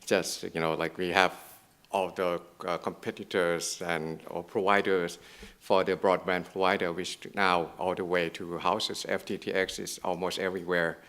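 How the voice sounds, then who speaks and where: A man's voice: low voice, flat delivery, normal speed, fairly bright tone. A man from Thailand answering on a conference panel, hesitant and full of fillers.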